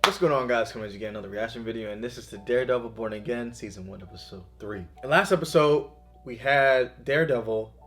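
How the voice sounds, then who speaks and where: A man's voice: mid-range voice, fast talker, a bit bright, soft background music. A man hosting a reaction show, chatting casually as he gives his thoughts.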